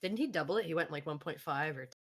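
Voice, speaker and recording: A woman's voice: deep voice, animated, racing, breathy edge. A woman chatting casually in a blunt, slangy way with plenty of swearing. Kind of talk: casual voice-chat banter.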